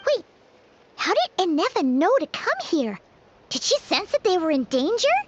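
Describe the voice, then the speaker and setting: A woman voicing a tiny, curious, excitable fairy girl with a squeaky childlike voice in an anime game. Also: normal speed, slight background noise. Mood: puzzled. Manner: exclaiming, inquisitive. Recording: dull game capture with music.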